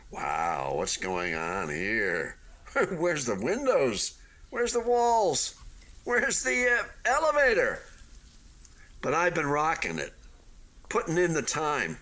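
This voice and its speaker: A man's voice: fairly high pitch, pushed and bright, full character voice. A man in a radio play voicing an unfinished hotel building, proudly deluded and eagerly optimistic, with a theatrical delivery that halts and then gushes. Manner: theatrical, halting then gushing, swooping emphasis.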